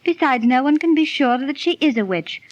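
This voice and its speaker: An actress playing a gentle, pious and sensible young village girl in a 1930s radio drama. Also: sits high, lively intonation, brisk, dry recording. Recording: dull, dry 1930s radio recording.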